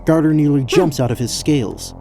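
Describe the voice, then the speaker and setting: A man's voice: on the high side, a little fast, warm tone, soft background music. A man narrating a scripted fantasy story as the narrator of an audio drama.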